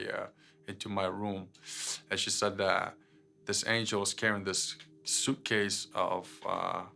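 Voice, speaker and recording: Low-pitched, flat-toned man from Ukraine. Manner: halting, many fillers and repeated words. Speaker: guest sharing his testimony. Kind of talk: personal testimony, unscripted storytelling.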